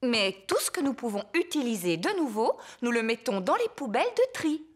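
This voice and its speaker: A woman voicing a gentle, sensible mummy pig, patient and instructive, in a French-dubbed preschool cartoon. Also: on the high side, big pitch swings, a little fast, faint music behind.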